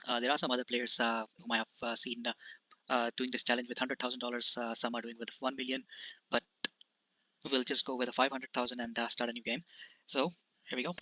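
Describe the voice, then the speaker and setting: Guy from india doing a let's play, bright tense voice, flat delivery, kinda muffled mic. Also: medium pitch, brisk. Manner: hesitant, many "uh" fillers and restarts. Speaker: gaming channel host. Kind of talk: casual gameplay commentary.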